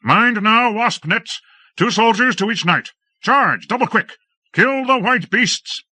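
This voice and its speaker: A man's voice: medium pitch, lively intonation, normal speed, bright, forceful tone, dry recording. A man voicing a male lord who barks urgent commands to his troops in battle. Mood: battle cry. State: throat thick with fumes. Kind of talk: audiobook character voice.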